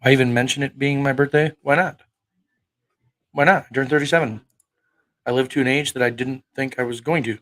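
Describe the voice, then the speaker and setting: A man's voice: medium pitch, fairly even intonation, fairly quick, long pauses, a bit bright. A man in his thirties preaching on a livestream, arguing with chat in a combative, profane way, firing rhetorical questions. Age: 30s. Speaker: online preacher. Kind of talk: livestream talk answering chat.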